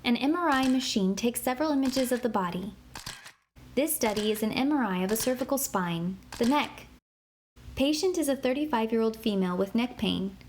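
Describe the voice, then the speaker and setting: A woman giving scripted instructional narration for an interactive kids' medical app, in an even, clear, measured way. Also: a little high, slight background noise.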